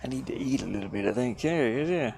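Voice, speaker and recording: A man's voice: medium pitch, quick pace, gravelly. A man streaming live as he works, thinking aloud casually and trailing off mid-thought. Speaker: live streamer making a game. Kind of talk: casual livestream work commentary, thinking aloud. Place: live stream from his van. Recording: steady background noise.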